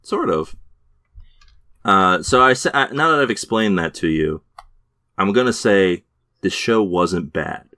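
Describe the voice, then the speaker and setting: A man's voice: low-pitched, expressive, a little slow, long pauses, pushed and bright, no room sound, clean studio sound. A man co-hosting a podcast, chatting casually about TV shows with many fillers and restarts. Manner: hesitant, lots of fillers and restarts. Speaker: podcast co-host. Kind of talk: casual chat reviewing TV shows.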